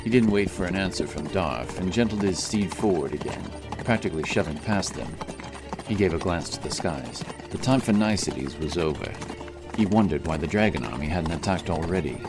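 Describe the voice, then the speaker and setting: A man with a very low voice narrates a fantasy adventure in a dramatic, scripted style. Music plays clearly behind him. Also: animated, a little fast. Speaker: audiobook narrator.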